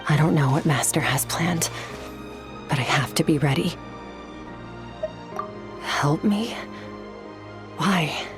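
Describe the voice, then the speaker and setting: Low female voice, guarded swordswoman, solemn, restrained, video game acting, clear music underneath. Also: slow, long pauses. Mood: grave, guarded. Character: Skirk, stoic guarded swordswoman haunted by her master. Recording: loud music behind the voice.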